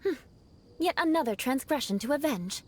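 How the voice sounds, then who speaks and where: A woman's voice: high-pitched, lively intonation, normal speed, very noisy. Proud, vengeful young noblewoman knight for an anime game: formal, composed, lilting and theatrical.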